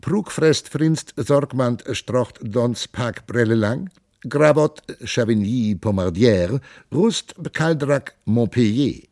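Guy reading as a shabby wandering stranger, pleading, slow and drawn out, raised voice, spouts gibberish tongues. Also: medium pitch, lively intonation, darkish tone, dry recording, studio quality, pitched above the performer's own voice. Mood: pleading, grandiloquent. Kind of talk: audiobook reading.

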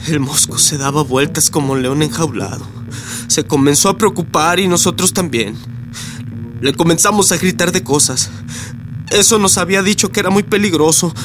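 A man with a Mexican accent playing a traumatized migrant survivor in a radio drama monologue, speaking in short, colloquial, haunted phrases. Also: on the high side. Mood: haunted, subdued. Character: traumatized male Mexican migrant, lone survivor recounting horror. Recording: music under the voice.

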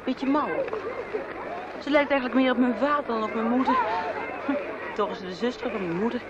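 A woman's voice: fairly high pitch, a little fast, a loud crowd, close to an everyday voice. A young actress playing a moody, self-deprecating young woman in a radio play, curt and guarded in manner. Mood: glum, frank. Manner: curt, defensive. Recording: dull, noisy radio play with outdoor sounds.

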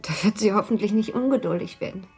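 An actress playing an anxious, tender young mother burdened by guilt in a German radio play, with a breathy voice. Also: mid-range voice, quick pace, dark tone, soft background music. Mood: strained cheer.

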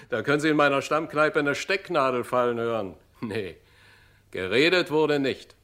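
A mid-pitched male voice of an actor as a pub regular in a radio crime play. He is offhand and blunt, answering grudgingly and warily in everyday colloquial speech. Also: a little slow, fairly bright tone, close to an everyday voice. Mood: wary, offhand. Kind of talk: German radio crime drama acting.

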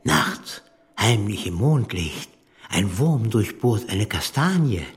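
An actor playing a gentle, whimsical old Pope who talks playfully to a child and drops in Italian, in a German radio play. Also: male voice, on the low side, sing-song, a little hiss or hum. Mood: amused, musing. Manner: drawn-out, playful, sprinkles in Italian.

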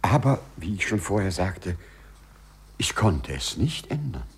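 Husky, deep-voiced, lovestruck gentleman. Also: big pitch swings, a little slow, exaggerated voice. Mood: flustered, apologetic. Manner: formal, flowery, over-polite. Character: courteous, lovestruck gentleman burglar who walks through walls. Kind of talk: 1950s German radio play acting.

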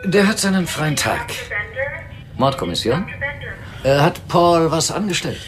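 An actor playing a wary, officious hospital clerk in a radio drama, long-winded, evasive and argumentative. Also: male voice, on the high side, very animated, a little slow, music in the background. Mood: curious, protective. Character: stalling, officious male hospital personnel clerk, wary of lawsuits.

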